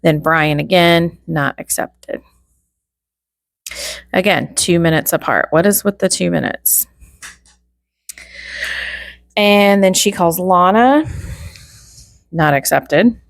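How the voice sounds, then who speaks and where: A woman's voice: on the low side, slow, gravelly, long pauses, dry recording, studio quality. A woman hosting true crime commentary, reading through a log informally and item by item, with wry asides.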